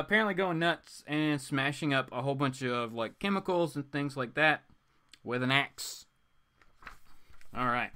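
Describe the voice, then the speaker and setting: A man's voice: a little high, fairly bright tone. A man hosting a live stream in an informal, rambling chat, with playful asides that swing between exclaiming and muttering. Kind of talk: casual rambling chat, reading viewer comments.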